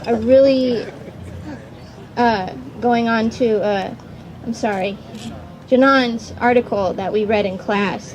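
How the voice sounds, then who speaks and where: A woman's voice: medium pitch, highs cut off. A woman in her twenties, a student panelist, talking in a chatty, informal, hesitant way outdoors. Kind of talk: casual unscripted panel talk. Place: outdoors at a campground. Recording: outdoor recording with clear background noise.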